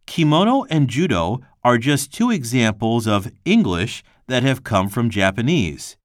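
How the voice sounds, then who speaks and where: A man reading a textbook passage aloud for a language lesson, slow and careful, with clear pauses between phrases. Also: medium pitch, big pitch swings, dry recording, studio quality. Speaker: textbook reader.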